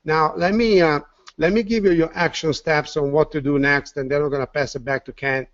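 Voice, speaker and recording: A man's voice: a little high, fairly even intonation, fairly quick, no room sound. A man with an Italian accent presenting a sales webinar, upbeat and repeating words with "uh" fillers. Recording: dull, dry lo-fi audio.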